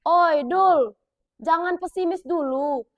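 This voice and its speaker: A female storyteller voicing two brash young village men who banter loudly with a South Sumatran Malay accent. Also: very high-pitched, lo-fi sound. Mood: boastful. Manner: brash, boisterous, fast banter.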